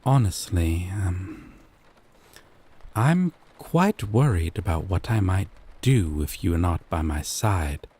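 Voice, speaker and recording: A man playing a gentle, obsessive yandere dating-sim character, tender and possessive, in an intimate scripted audio roleplay with a lingering, coaxing delivery. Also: low-pitched, lively intonation, slightly rough, heavily acted. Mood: obsessive, menacing. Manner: slow, lingering, coaxing, softly teasing.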